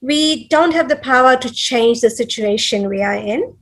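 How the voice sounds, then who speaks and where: A woman's voice: high-pitched, expressive, normal speed, fairly bright tone. A middle-aged woman hosting an interview, effusive and chatty, laughing often. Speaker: host. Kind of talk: casual interview chat.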